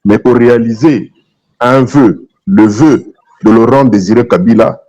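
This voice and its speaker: A man's voice: mid-range voice, measured pace, warm tone, lo-fi sound. A man from DR Congo with a Congolese accent, giving opinionated political views as a talk show guest, emphatic and repeating his phrases. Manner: emphatic, repeats phrases, switches between French and Lingala.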